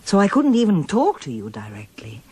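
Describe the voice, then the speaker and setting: Radio drama role: wife, middle-aged, lowish voice, highly varied intonation, candid and newly determined. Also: female voice, wildly swooping pitch, moderate pace, warm tone, breathy edge, full character voice. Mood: frank, defiant. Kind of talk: radio drama acting, both dialogue and first-person narration.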